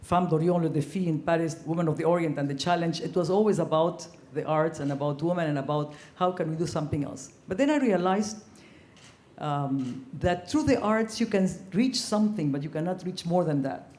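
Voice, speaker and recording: An older woman from Ecuador speaking on a panel in a large conference hall, her voice mellow and warm. She talks earnestly and reflectively, filling her pauses with ums. The room's echo trails after her words. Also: sits low. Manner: earnest, reflective, frequent ums, growing animated. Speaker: panelist, former ambassador. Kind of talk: panel discussion storytelling.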